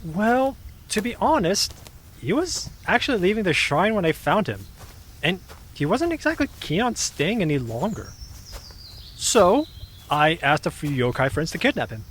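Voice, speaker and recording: A woman's voice: lowish voice, big pitch swings, moderate pace, slight background noise. A woman playing an easygoing, slightly sheepish young woman in an audio drama, explaining spirit lore in a matter-of-fact, teasing way.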